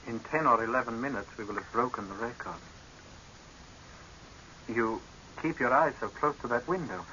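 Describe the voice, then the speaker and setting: A man's voice: mid-range voice, moderate pace, sharp tone, natural voice. An actor playing an earnest young male scientist in a 1930s radio horror drama, formal and restrained as a subordinate. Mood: guarded, formal. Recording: hissy, muffled old radio recording.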